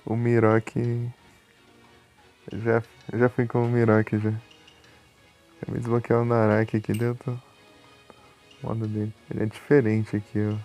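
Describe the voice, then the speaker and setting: A man's voice: on the low side, completely flat delivery, measured pace, pauses often, darkish tone, faint music behind. A man with a Brazilian accent giving casual, chatty live commentary as a video game streamer, thinking aloud informally.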